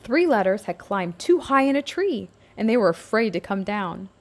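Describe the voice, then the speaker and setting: A woman's voice: medium pitch, big pitch swings, normal speed. A woman narrating a scripted picture book aloud for young children, as a storybook reader.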